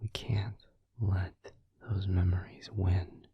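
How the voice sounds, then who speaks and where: Breathy whispering gentle vampire boyfriend, acted by a man. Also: sits low, flat delivery, dry recording, dull audio, heavily acted. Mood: earnest, reassuring. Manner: slow, tender, soothing, reassuring. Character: gentle, devoted vampire boyfriend comforting his lover. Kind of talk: intimate whispered ASMR comfort roleplay. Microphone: close microphone, dry.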